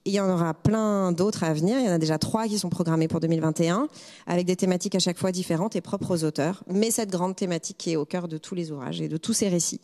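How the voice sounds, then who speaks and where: A woman's voice: fairly low pitch, quick pace, warm tone, in a large hall. A middle-aged woman guest on a studio talk show, speaking with many fillers and restarts into a handheld mic. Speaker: guest, editorial director. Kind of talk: interview. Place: small studio set.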